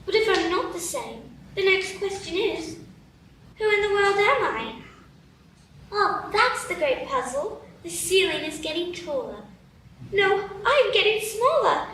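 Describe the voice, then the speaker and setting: Woman as curious young girl, very high, bright, roomy echo, distant mic. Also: big pitch swings, measured pace, a little hiss or hum, exaggerated voice. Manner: wondering, apologetic, chatty. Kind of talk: live radio play acting.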